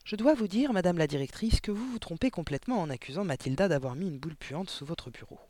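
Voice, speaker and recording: A mild young storybook schoolmistress, as a man reads her, earnest and resolute. The pitch sits fairly high, with a polite, soft, pleading delivery. The recording is dry. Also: lively intonation, fast talker, no echo.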